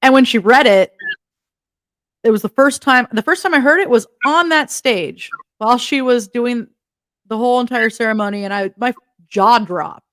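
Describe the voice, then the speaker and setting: A woman's voice: fairly high pitch, normal speed, fairly bright tone, no room sound. Enthusiastic woman hosting a chat. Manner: enthusiastic, giggly. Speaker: host. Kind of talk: casual interview chat.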